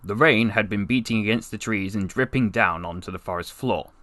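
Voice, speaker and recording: A man narrating a fantasy story in an expressive, theatrical audiobook style. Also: on the low side, brisk, no room sound. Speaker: voice actor reading a story for a portfolio.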